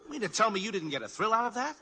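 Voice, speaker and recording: An actor playing a cocky, breezy carnival balloon jumper with slangy, boastful talk in 1940s radio style. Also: male voice, high-pitched, sing-song, rapid-fire, pushed and bright, heavily acted.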